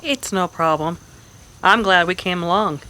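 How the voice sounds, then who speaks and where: Woman acting a bright, plucky frontier gal. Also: fairly low pitch, unhurried, low-quality recording. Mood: friendly, brisk. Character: plucky, practical young saloon woman of the Old West. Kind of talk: old-time radio western acting.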